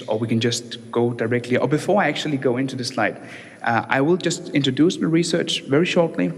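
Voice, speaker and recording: Young Danish-born male researcher, chatty conference talk, church-hall reverb. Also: medium pitch. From Denmark. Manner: relaxed, conversational, many fillers and restarts. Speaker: doctoral researcher giving a talk. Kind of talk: conference presentation.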